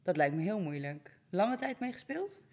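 A woman's voice: medium pitch, very animated, normal speed. A woman hosting a talk show, asking questions in a casual, conversational way. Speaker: interviewer, talk show host. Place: large indoor hair salon. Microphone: studio microphone on stand, close in front.

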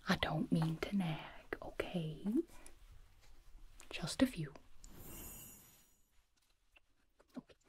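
A woman whispering as a doting, chatty elf mother who fusses sweetly over a guest, in a soft ASMR roleplay close to the mic. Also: sits low, fairly even intonation, takes it slowly, a little hiss or hum. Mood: fussing. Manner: soft whisper, fussing and gushing.

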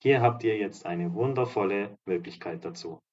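A slightly hoarse man giving an upbeat, promotional walkthrough of apartments as a real estate agent and vlogger. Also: on the low side, normal speed, a little mellow, highs cut off. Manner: upbeat, promotional, walks through rooms while talking. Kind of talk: property tour narration. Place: apartment complex in a seaside town, indoors and outdoors.

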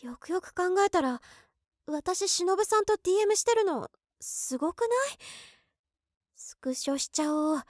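Casting an anxious, adoring office girl in her early twenties, voice drama monologue, extremely high voice, flustered delivery, dry studio sound. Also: expressive, moderate pace, darkish tone, no room sound. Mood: giddy, happy. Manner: girlish, flustered, earnest. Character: shy, anxious, devoted 23-year-old female office worker and fan.

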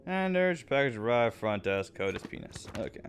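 A man's voice: medium pitch, sing-song, normal speed. A man giving casual gameplay commentary with muttered, irreverent asides. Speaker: let's player. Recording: clear background game noise. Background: video game sounds.

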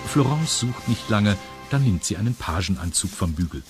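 A man's voice: on the low side, expressive, moderate pace, soft background music. A man with a standard German accent narrating a scripted children's audio story.

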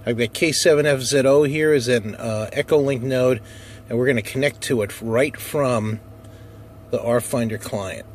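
A man's voice: mid-range voice, moderate pace. A man giving a casual demonstration as an amateur radio operator, recorded inside a car. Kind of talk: casual demo narration and radio call-outs. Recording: noisy car interior, handheld camera. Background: vehicle noise.

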